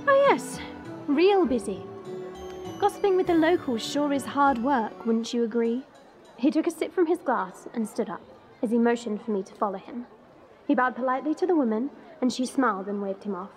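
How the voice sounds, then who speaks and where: Woman acting a high-voiced wry dragon girl. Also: lively intonation, moderate pace, faint music behind, natural voice. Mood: sarcastic, then dry narration. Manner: chatty, confiding, wry. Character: proud, sardonic young dragon woman, secretly soft-hearted. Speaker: narrator and lead character. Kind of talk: first-person audio drama narration.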